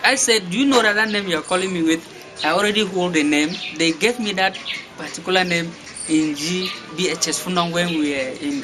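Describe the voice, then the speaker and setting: A young Cameroonian man with a Cameroonian English accent, giving interview answers with many fillers and restarts. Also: high voice. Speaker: guest. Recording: noisy background.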